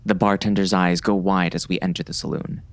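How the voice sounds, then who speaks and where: A man playing an uneasy gunman who narrates a western audiobook in a brooding, gravelly, dramatic way. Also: low voice, fairly even intonation, brisk. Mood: tense, wary. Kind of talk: first-person audiobook narration, present tense.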